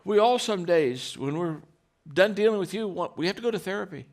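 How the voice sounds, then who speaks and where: Deep-voiced man telling lively stories. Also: brisk, slight background noise. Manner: storytelling with repeated restarts and acted quotes. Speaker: leadership speaker. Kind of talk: motivational talk with stories.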